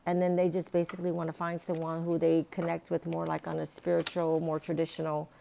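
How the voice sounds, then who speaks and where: A woman with a raspy, hoarse voice giving a casual tarot reading, rambling with many fillers and restarts. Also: low-pitched, monotone, a little fast, mellow, a little hiss or hum. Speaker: tarot reader.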